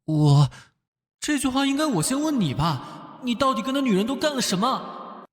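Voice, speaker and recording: An actor playing a kindly, earnest police detective in an animated drama, with a caring, musing manner. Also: male voice, extremely high pitch, normal speed, exaggerated voice. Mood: flustered. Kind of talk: animated drama acting with inner monologue. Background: music.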